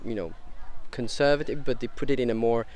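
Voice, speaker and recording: A man's voice: medium pitch, fairly quick, a little mellow, slight background noise. A man from Europe answering interview questions, hesitant, with many restarts and fillers.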